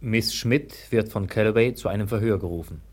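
A man's voice: lowish voice, a little fast, a little mellow, slightly rough. A man reading scripted narration as the narrator of a German drama.

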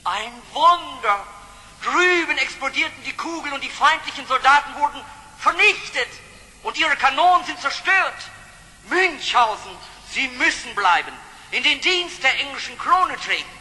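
An actor playing an excitable military commander, exclaiming in astonishment in a theatrical audio play. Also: male voice, very high voice, moderate pace, big-room sound, heavily acted, poor audio. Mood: amazed, elated.

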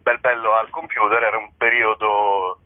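A man's voice: lowish voice, flat delivery, normal speed. A man in a casual interview as a theatre comedian, talking in a hesitant, wry, rambling way. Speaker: theatre comedian and writer presenting a show. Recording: narrow-band telephone line, radio interview.

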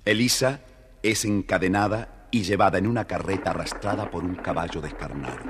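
A man narrating a scripted children's fairy tale as an expressive, dramatic storyteller. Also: sits low, a little fast, noisy background.

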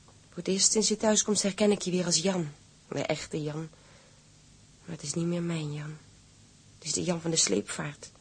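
An actress playing a sailor's wounded wife in a radio drama, pleading and searching, with a husky voice. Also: female voice, lowish voice, steady tone, moderate pace, long pauses. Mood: hurt, resigned. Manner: emotional, insistent, searching.

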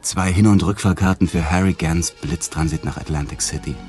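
An actor in a radio drama playing an affable, evasive business tycoon with a casual, teasing charm. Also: male voice, low voice, steady tone, brisk, a little mellow, faint music behind, natural voice. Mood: casual, persuasive.

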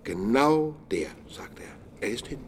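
A man's voice: medium pitch, very animated, a little slow, background noise. A man playing a sociable, nosy acquaintance in a radio drama, rambling through anecdotes in a conversational way. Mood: gossipy, mournful. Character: chatty gossiping male acquaintance, sociable and nosy.

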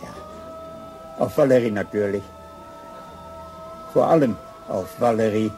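An older actor playing a gentle, thoughtful father in a German radio play, speaking in a measured way. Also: lowish voice, soft background music. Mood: wistful. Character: gentle, reflective old father, loyal to daughter.

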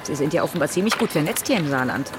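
With music behind her, a female police detective in a radio drama. Her voice is on the low side, dry and cool, with pointed irony and no give. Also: expressive, very fast. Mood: dry, guarded.

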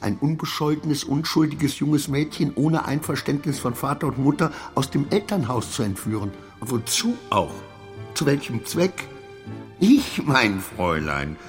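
An actor playing a distraught, obsequious man losing his grip in a radio play, rambling and questioning himself in formal, old-fashioned phrases. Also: medium pitch. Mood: indignant, rambling.

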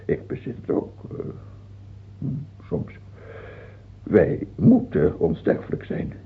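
An actor playing a dying, tyrannical king of France in a radio drama, fearful and halting, then imperious. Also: low-pitched, huge pitch swings, breathy edge, exaggerated voice. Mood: agitated, doubting. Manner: halting and stammering, turning imperious. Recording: muffled, tinny old radio-play recording.

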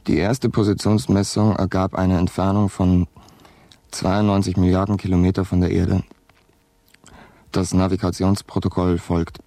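An actor playing a groggy astronaut with a sluggish tongue, haltingly dictating a formal mission log in a science fiction radio drama. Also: male voice, low voice, dry recording. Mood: groggy, factual. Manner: halting, stumbling over words, flat reporting. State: sluggish tongue after long sleep.

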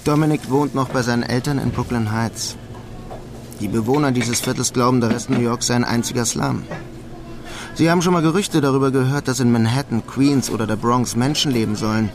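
An actor playing a wry, resigned immigrant who narrates a radio drama in a dry, laconic way. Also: male voice, mid-range voice, brisk, lightly acted. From Germany. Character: wry, resigned German immigrant man in New York. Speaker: first-person narrator.

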